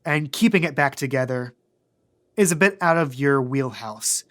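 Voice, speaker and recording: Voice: mid-range voice, expressive, moderate pace. A game master narrating and refereeing a tabletop role-playing podcast.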